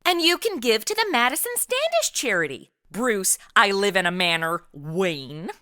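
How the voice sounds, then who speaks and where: Casting: actress as a blunt, sarcastic young sidekick for a radio spoof, bright, highly expressive. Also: female voice, normal speed, no echo, clean studio sound. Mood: haggling. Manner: snarky, blunt, complaining. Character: sassy, sarcastic modern young woman, reluctant sidekick. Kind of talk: comedy radio play acting.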